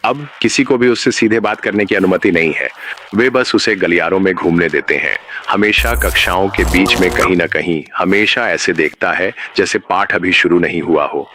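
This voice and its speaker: A man narrating a scripted horror story in a measured, ominous manner. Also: low voice, pushed and bright, slight background noise.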